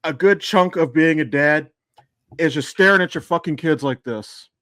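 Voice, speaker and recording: A man's voice: fairly high pitch, normal speed, a bit bright, no echo. A man hosting a podcast in casual, informal conversation, swearing freely. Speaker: podcast host. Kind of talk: casual chat.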